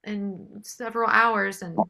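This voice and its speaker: A woman's voice: medium pitch, fairly even intonation, takes it slowly, creaky, bright, forceful tone, rough recording. A woman, a singer-songwriter guest, chatting casually in an interview.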